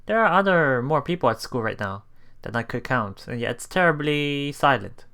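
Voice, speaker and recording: A man doing a let's play, reading story narration aloud with casual commentary, halting and chuckling as he goes, with game music behind him. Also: medium pitch, expressive, creaky, pushed and bright, clean tone. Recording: voice over game background music.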